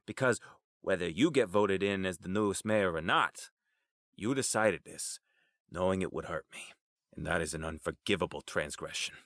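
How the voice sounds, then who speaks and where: A man's voice: deep voice, normal speed, fairly bright tone, no room sound, studio quality. A man playing a proud, menacing crime boss in an audio drama, indignant and confrontational. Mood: bitter menace.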